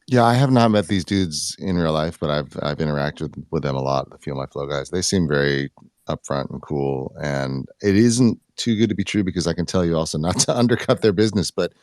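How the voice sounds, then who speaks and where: A man's voice: very deep voice, a little fast, a little mellow, no room sound, clean studio sound. A man giving advice in a casual online group discussion, explaining things in laid-back, slangy language. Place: live online audio chat room.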